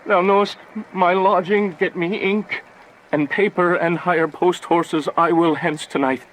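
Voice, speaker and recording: A man's voice: high voice, fairly even intonation, measured pace, fairly bright tone, low-quality recording. An actor playing a lovesick, impulsive young nobleman in a declamatory, poetic Shakespearean radio drama. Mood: stunned, desperate. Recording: faint background noise.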